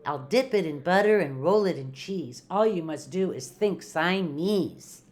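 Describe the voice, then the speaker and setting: A woman's voice: on the low side, lively intonation, measured pace, darkish tone. A woman voicing a scolding mother cat in a storybook, firm but persuasive, with sing-song commands. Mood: coaxing, firm.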